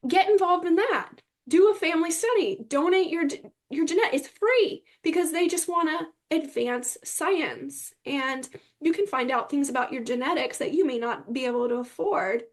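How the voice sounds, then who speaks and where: A woman's voice: sits high, normal speed, hardly any pauses. A woman, a podcast guest, giving advice conversationally in an emphatic, encouraging manner.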